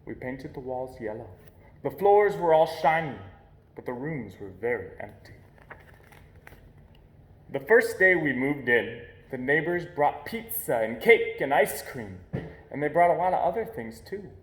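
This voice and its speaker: A man reading a children's picture book aloud in an expressive storytelling style, pausing deliberately for emphasis. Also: mid-range voice. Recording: echoey, roomy sound.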